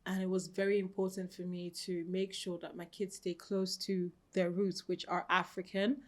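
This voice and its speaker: Austrian-raised shop owner, woman, thirties, calm reflective interviewee. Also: lowish voice, moderate pace. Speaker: boutique owner, guest. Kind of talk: interview answers. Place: her boutique, indoors.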